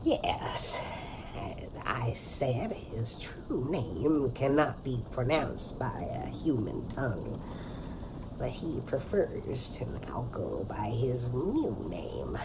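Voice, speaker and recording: A woman's voice: rumbling low, sing-song, noisy background, heavily acted. A woman playing a determined old woman, telling things dramatically in a comedy audio drama. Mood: weary, solemn. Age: older. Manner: dramatic storytelling, deliberate. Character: theatrical, determined old woman who hunts a monster. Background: music.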